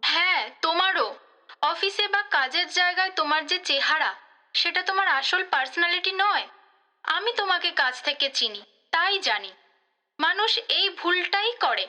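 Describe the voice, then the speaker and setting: Radio play confidante, female actor: extremely high-pitched, piercingly bright, knowing and gently instructive. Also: lively intonation, moderate pace, full character voice. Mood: earnest, knowing. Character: insightful woman, intimate confidante, gently lecturing. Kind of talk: Bengali audio drama acting.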